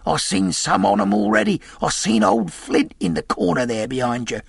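A man voicing a weak, drunken old sea captain who wheedles and blusters in sailor slang, with a gravelly, husky voice. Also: sits high, sing-song, moderate pace, no echo, pitched above the performer's own voice, heavily acted. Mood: pleading. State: weak, feeble voice.

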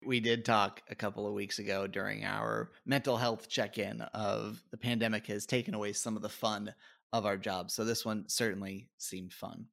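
A man's voice: lowish voice, little pitch movement, moderate pace, gravelly, a bit bright. A man co-hosting a podcast, making friendly segues to the next guest. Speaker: podcast co-host.

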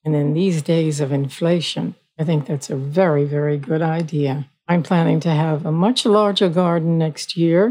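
A woman's voice: sits low, steady tone, moderate pace, few pauses, mellow. An older woman hosting her own show, talking in a chatty, folksy way and repeating her points. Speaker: host. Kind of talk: solo podcast talk.

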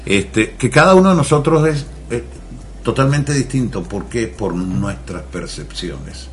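A man's voice: mid-range voice, expressive, measured pace, a little hiss or hum. A man from Venezuela with a Venezuelan accent, a radio guest earnestly explaining mental health. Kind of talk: radio interview.

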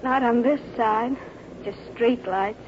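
A devoted young sweetheart in an old-time radio drama. Her voice is high, emotional and tender. It comes through a muffled recording with plenty of noise. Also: slow. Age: young adult. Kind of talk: 1940s radio drama acting.

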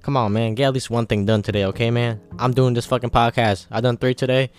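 A man's voice: mid-range voice, steady tone, fast talker, clear, resonant tone. A twenty-one-year-old man hosting a solo podcast, rambling casually with lots of swearing and pep-talk energy. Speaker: podcast host.

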